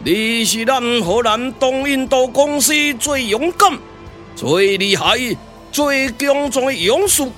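A domineering colonial governor in a Taiwanese accent. He declaims slowly and grandly, his pitch leaping about, with music underneath, in the manner of a puppet radio play. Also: expressive, fairly bright tone, full character voice. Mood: commanding. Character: boastful, domineering male Dutch colonial governor villain. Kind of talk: Taiwanese glove-puppet radio drama acting.

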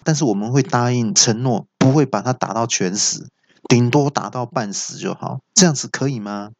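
A man's voice: mid-range voice, moderate pace, vocal fry, noisy background, natural voice. A man voicing a boastful, scheming male mountain demon who draws out his words in a wheedling way.

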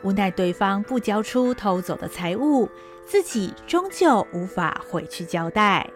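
Expressive woman narrating a radio drama. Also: medium pitch, measured pace, faint music behind. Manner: expressive, storytelling tone. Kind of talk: scripted storytelling narration.

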